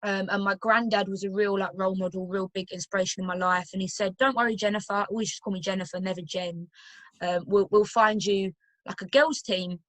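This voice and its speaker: A young woman with a London accent talks easily in a remote interview. She fills her answers with ums and self-corrections at a middling pitch. Her voice sounds close and dry, with no room around it. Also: fairly even intonation, fairly quick, a bit bright, dry recording, rough recording. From London, England. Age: young adult. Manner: chatty, with many fillers and restarts. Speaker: guest footballer. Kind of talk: casual interview.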